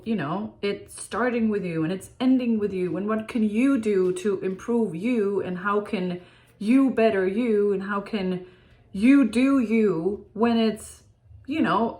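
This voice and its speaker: A woman's voice: mid-range voice, a little mellow. A woman from Denmark with a Danish accent, a relationship and breakup coach speaking earnestly to her viewers, with many fillers and restarts. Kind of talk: direct-to-camera talk to viewers.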